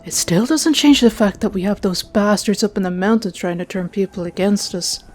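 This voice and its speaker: A woman's voice: mid-range voice, normal speed, soft background music, natural voice. A woman playing a blunt, opinionated female first sergeant at a war council in a fantasy audiobook. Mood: indignant. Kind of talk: audiobook character acting.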